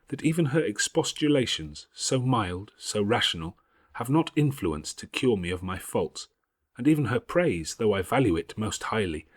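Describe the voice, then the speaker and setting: A man as a grave, patient schoolgirl, slightly husky. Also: lowish voice, no echo, clean studio sound, pitched above the performer's own voice. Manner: measured, earnest, moralising. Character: patient, devout, bookish older schoolgirl, gravely self-critical. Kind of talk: audiobook character voice.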